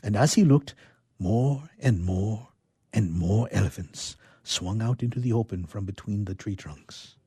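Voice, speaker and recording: A man with a fairly low, husky voice presents a tale as a radio theatre reader. He speaks as a storyteller, explaining and narrating. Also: measured pace, dark tone. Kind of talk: explaining and expressive storybook reading.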